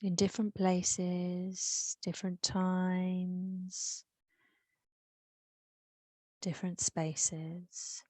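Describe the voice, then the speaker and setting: A woman with an English accent leading a guided meditation, soothing and unhurried, with long pauses. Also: on the low side, level tone, mellow, no room sound.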